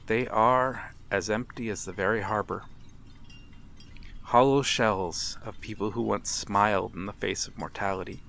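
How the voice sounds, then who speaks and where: A man playing a courtly, brooding vampire stranger, menacing and seductive, speaking softly and deliberately in an ASMR roleplay. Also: lowish voice, full character voice. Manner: soft, deliberate, theatrical, with breathy whispers. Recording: steady ambient noise, harbor soundscape.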